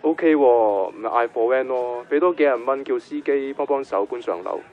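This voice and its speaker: A man's voice: mid-range voice, moderate pace, faint music behind. An actor playing an easygoing, practical man on a phone call in a Hong Kong Cantonese radio drama. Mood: casual, upbeat. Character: easygoing, practical man phoning about a bargain. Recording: muffled band-limited phone sound.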